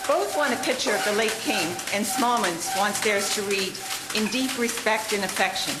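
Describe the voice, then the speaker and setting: A woman's voice: medium pitch, moderate pace, reverberant, very noisy, lightly acted. A woman playing a businesslike newspaper office staffer in a radio drama, matter-of-fact in delivery. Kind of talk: amateur radio drama acting.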